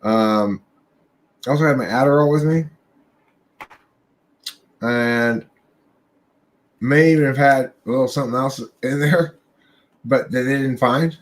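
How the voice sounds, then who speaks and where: Young guy rambling thru a road trip story, swears a lot, middle pitch. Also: measured pace, long pauses. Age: young adult. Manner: rambling, profane storytelling with laughs. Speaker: podcast host. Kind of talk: casual livestream chat.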